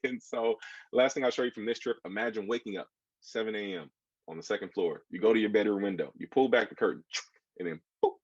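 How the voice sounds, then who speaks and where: American guy w lowish voice doing a casual kids book author talk, asks listeners stuff, kinda muffled roomy sound. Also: fast talker, husky. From United States. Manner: conversational, addresses listeners with questions. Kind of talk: informal audience presentation with slides. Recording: dull, echoey room sound.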